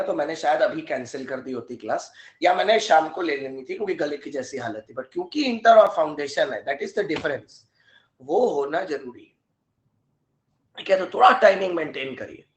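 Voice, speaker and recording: A man teaching a live online law class with a hoarse, sore throat, often clearing it and mixing in English terms. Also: sits high, reverberant.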